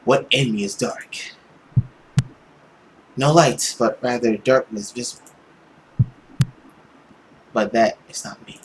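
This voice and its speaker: Man acting out a brooding, hushed, tormented villain. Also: mid-range voice, takes it slowly, pauses often, a little hiss or hum, lightly acted, low-quality recording. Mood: brooding, weary. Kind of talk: dramatic visual novel reading.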